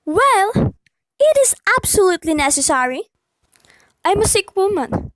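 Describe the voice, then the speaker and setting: A woman's voice: very high voice, big pitch swings, a little slow, no room sound, heavily acted, rough recording. A woman playing an anxious, demanding invalid wife in a radio play, fretful and insistent. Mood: nervous, insistent.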